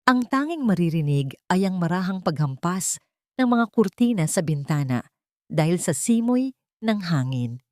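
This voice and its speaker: Female narrator, low register, dry close sound, scripted dramatic storytelling. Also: sing-song, normal speed, darkish tone, no room sound.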